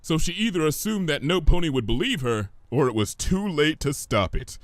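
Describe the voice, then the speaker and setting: A man narrating a scripted story in an expressive, theatrical manner. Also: a little high, moderate pace, no echo.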